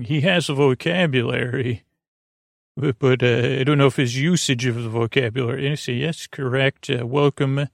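A man with a creaky voice hosting a sleep podcast, rambling in a soothing, halting way and stammering over repeated words. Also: medium pitch, dry recording, clean studio sound. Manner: soothing, lulling. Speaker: podcast host. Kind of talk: rambling bedtime monologue to lull listeners to sleep.

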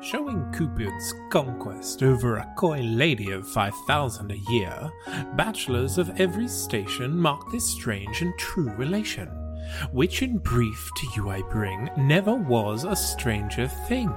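A man reading poetry as a scripted narration, measured and expressive. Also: mid-range voice. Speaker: podcast host and poetry reader. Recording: music behind the voice. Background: music.

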